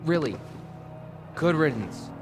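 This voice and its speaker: A man's voice: a little high, lively intonation, slow pace, over music. An actor playing a young male college student, foul-mouthed, frustrated and unsure of himself, in a casual, naturalistic video game style. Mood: fed up. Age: young adult. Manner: slangy, casual, swearing.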